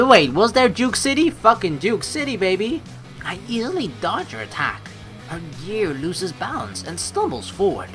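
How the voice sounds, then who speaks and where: A let's play host giving rambling, excitable commentary on a story game and reading its text aloud. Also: mid-range voice. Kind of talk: game playthrough commentary with dramatic read-aloud. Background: game music.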